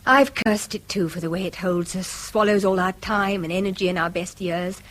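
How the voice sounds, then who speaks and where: A woman playing a tired, consoling middle-aged schoolmaster's wife in a radio drama, gentle and reassuring. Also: on the low side, moderate pace. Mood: tired, pleading. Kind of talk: British radio drama acting.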